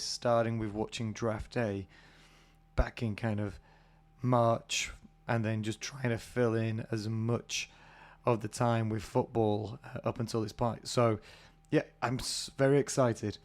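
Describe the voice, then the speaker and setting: A British man hosting a casual solo podcast, husky from a cold, chatty and rambling with many fillers. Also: mid-range voice, steady tone, a little slow. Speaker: podcast host.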